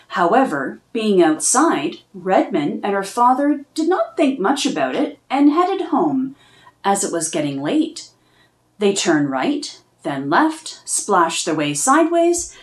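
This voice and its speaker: Slow, lively storybook reader, woman. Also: a little high. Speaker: children's book reader. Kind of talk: storybook read-aloud.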